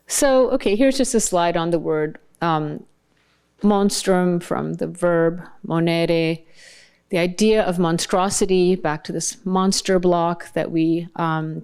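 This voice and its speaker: A woman whose first language was Bengali delivers a scholarly lecture from her slides. Her voice sits on the low side and sounds smooth and resonant. She talks thoughtfully and often stops to search for the right word. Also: darkish tone. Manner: thoughtful, searching for words mid-sentence. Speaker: translator giving a keynote talk.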